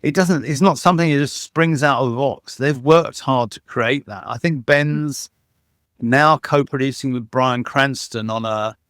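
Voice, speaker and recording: A man's voice: medium pitch, unhurried, fairly bright tone, dry recording, clean studio sound. A man who works as a sound engineer, talking as an interview guest, telling enthusiastic, rambling stories full of restarts and fillers. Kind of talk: casual interview conversation.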